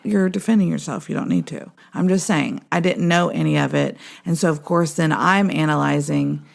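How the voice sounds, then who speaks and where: Gravelly-voiced chatty woman. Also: low voice. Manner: chatty, interjecting, quick to respond. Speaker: podcast co-host. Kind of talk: personal conversation.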